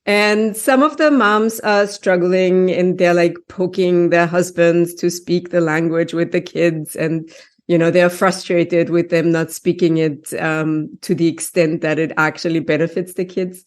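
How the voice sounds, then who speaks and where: Woman podcast host, lowish voice. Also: measured pace. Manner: lively, laughs often, asks long questions. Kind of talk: casual interview.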